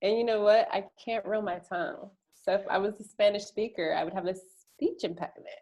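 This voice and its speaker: A woman's voice: mid-range voice, brisk, a little mellow, poor audio. A woman co-hosting a podcast, easygoing in casual chat, laughing along and asking the guest questions. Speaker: podcast co-host.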